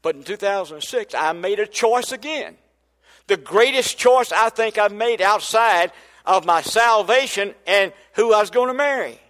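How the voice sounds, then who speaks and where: High-voiced older preacher. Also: male voice, animated, a little slow, a bit bright, long reverb tail. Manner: folksy storytelling, building to emphatic preaching. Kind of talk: sermon testimony.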